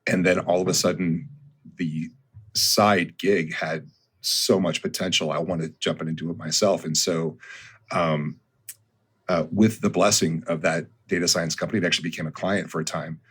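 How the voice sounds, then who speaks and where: A man's voice: sits low, steady tone, moderate pace, a bit bright, slightly rough. A man in his fifties, a business founder talking through his thinking as a podcast guest, with frequent ums and restarts. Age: 50s. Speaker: guest, consulting firm founder. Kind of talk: conversational podcast interview.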